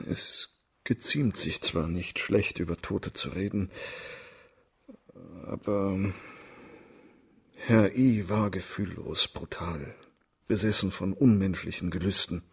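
A learned family physician of ancient China in a radio drama. His low voice is gravelly and very husky, and he explains things formally and discreetly. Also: slow pace, long pauses, exaggerated voice. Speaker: actor in a radio crime drama. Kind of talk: radio play acting.

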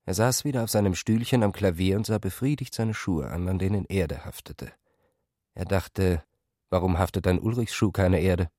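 A man's voice: sits low, moderate pace, warm tone, no echo, clean studio sound. A man reading scripted literary narration in a radio drama. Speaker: narrator and character reader.

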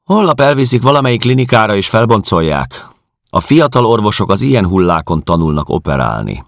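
A man's voice: on the low side, sing-song, no echo, studio quality, pitched above the performer's own voice. A man voicing a chatty, matter-of-fact female caretaker in an audiobook. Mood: brisk, unsentimental. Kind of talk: audiobook character dialogue.

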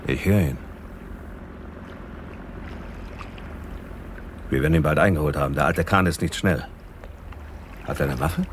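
Very deep-voiced, laconic boatman. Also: animated, brisk, creaky, slight background noise, full character voice. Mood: alert. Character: calm, helpful, unafraid male boat owner. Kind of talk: radio crime drama acting.

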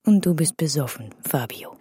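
A man reading the part of a young single mother, speaking softly and wistfully in a slightly raised voice. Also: fairly high pitch, animated, moderate pace, slightly rough, dry recording, clean studio sound, voice pushed up. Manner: soft, quiet, wistful. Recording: music underneath. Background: music.

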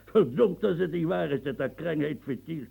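An actor playing a proud, coarse old French marquis and marshal in a radio play, gruff and boastful, muttering one moment and shouting the next. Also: male voice, on the high side, unhurried, heavily acted. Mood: gloating. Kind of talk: radio drama acting.

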